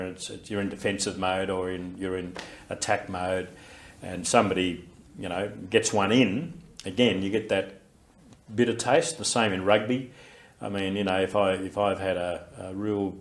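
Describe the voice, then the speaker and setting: An older Australian man with a very low, deep voice talks through his memories in an interview. He speaks in a reflective, unhurried way, often pausing on fillers and restarting his sentences. His voice is loud and carries. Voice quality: loud, carrying voice. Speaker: interviewee, war veteran. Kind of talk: recorded oral history interview.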